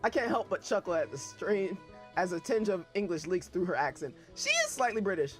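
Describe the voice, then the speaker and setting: A man's voice: high-pitched, wildly swooping pitch, fairly quick, fairly bright tone, faint music behind. A young man reading a novel aloud in first person, breaking off for irreverent, joking asides. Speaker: reader of a novel.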